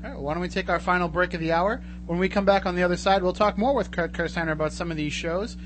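A man's voice: sits high, fast, a bit bright, a little hiss or hum. A man hosting a live radio talk show, chatting and steering the program.

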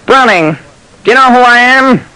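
An actor playing a big, urgent gambling boss in an old radio drama, forceful and commanding, on a noisy recording. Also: male voice, very high-pitched, expressive, moderate pace, clean tone, exaggerated voice. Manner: forceful, commanding, pleading his innocence.

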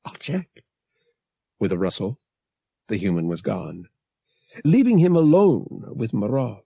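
A man reading an adventure novel as an audiobook narrator, in a measured, deliberate and dramatic storytelling style. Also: lowish voice, very animated, gravelly, warm tone, breathy edge, no echo, studio quality.

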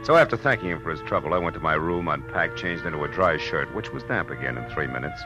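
Hardboiled american detective from 40s radio, really deep voice, dry and world-weary, music under it. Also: male voice, expressive, fast, dull audio. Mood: wry narrating. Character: hard-boiled male private detective, dry, unflappable, world-weary. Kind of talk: 1940s radio detective acting, first-person narration. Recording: old radio recording with music.

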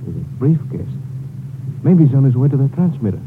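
A man's voice: mid-range voice, sing-song, brisk, natural voice. A man acting an earnest local sidekick and informant in a 1950s radio adventure. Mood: alert, conspiratorial. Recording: muffled, noisy vintage radio broadcast.

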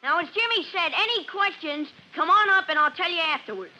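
Know-it-all schoolboy, stubborn, argumentative, female performer, very high, sharp voice, vintage radio serial. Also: expressive, a little fast, full character voice, poor audio. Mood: explaining. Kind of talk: old-time radio drama acting. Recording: faintly hissy vintage radio recording.

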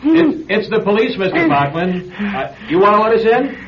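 An actor playing a casual, practical American police officer in an old-time radio drama, speaking in plain colloquial phrases with some hesitation. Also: male voice, high-pitched, sing-song, normal speed, crowd noise. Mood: firm, calling out. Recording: dull, hissy old radio recording.

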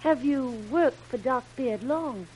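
A woman's voice: sits high, slow, a little mellow. A woman playing a frightened but commanding, suspicious captive young woman in melodramatic, stammering 1930s radio style. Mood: suspicious, probing. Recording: noisy old radio recording.

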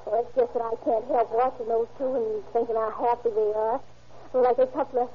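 A wistful young sweetheart with a high, girlish voice, as an actress plays her on an old radio show. She gushes earnestly and tenderly. The sound is thin and tinny, like a phone line. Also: fairly even intonation, brisk, a little hiss or hum, natural voice. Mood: affectionate. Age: young adult. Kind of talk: 1940s radio acting.

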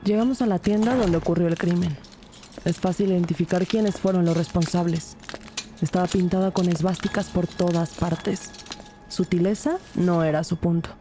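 A woman's voice: fairly low pitch, steady tone, normal speed, mellow, slight background noise, natural voice. An actress playing an earnest, composed police detective who narrates her case in a scripted audio drama. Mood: composed, serious.

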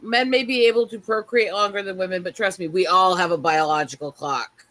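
A woman's voice: on the low side, brisk, a bit bright. A woman in a casual group chat, teasing and sassy in how she talks.

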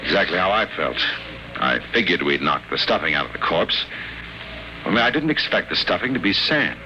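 An actor playing a wry, hard-boiled private investigator, deadpan and wisecracking, in the noir style of old-time radio drama. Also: male voice, deep voice, fairly quick, noisy background. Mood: wry, intrigued. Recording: old radio recording with loud music.

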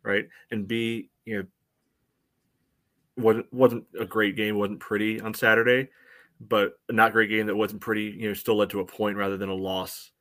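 An American man with a fairly low voice talks casually as a podcast co-host. His analysis comes out measured and halting, with a dry sense of humour. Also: little pitch movement, fairly bright tone. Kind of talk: casual sports analysis chat.

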